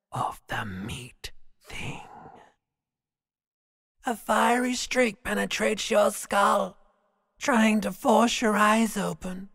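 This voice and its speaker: A sinister inner voice of the ancient brain, close and dry with a long reverberant tail. It hisses and sneers in a raspy, strained half-whisper, dragging words out very slowly and theatrically, morbid and tormenting, like a video game monologue. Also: male voice, high-pitched, a bit bright, exaggerated voice. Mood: creeping disgust, then cruel mockery. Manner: slow, theatrical, drawn-out, swelling from whispers to cries.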